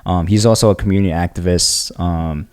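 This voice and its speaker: A hoarse man co-hosting a podcast, laid-back and slangy, often agreeing with his co-host. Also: sits low, fairly even intonation, a little fast, darkish tone. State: hoarse from shouting. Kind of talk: casual podcast chat.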